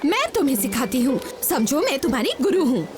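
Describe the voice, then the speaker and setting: A woman voicing a bossy, excitable young animal in a Hindi cartoon, boastful and exclaiming. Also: high voice, brisk, very noisy. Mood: bossy, teasing. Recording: loud music behind the voice.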